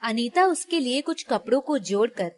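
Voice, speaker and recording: A woman narrates a moral tale from a script. Her voice is fairly high, and she speaks as a storyteller. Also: lively intonation, normal speed.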